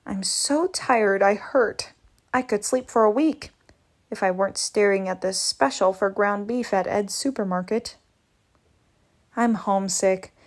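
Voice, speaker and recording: Woman reading a comic aloud. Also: measured pace. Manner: lively, shifts pitch for each character. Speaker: read-aloud narrator. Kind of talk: reading a comic book aloud, doing all the voices.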